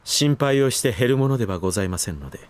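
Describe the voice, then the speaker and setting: An actor playing a protective butler in a Japanese voice drama, firm and extremely polite, with cool formality. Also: male voice, mid-range voice, lively intonation, very fast, no echo, close to an everyday voice. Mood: polite, pointed. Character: formal, protective male butler, coolly hostile to intruders.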